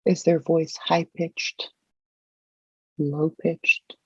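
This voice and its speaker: A person leading a guided visualization, asking gentle, measured questions with long pauses between them. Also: medium pitch, little pitch movement, breathy edge, no echo, muffled recording.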